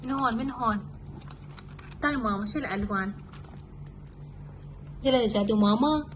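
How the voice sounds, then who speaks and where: High-voiced Lebanese woman, noisy homemade recording. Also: a little fast. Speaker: mother making kids' craft videos. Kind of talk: casual homemade craft demonstration, talking to her baby.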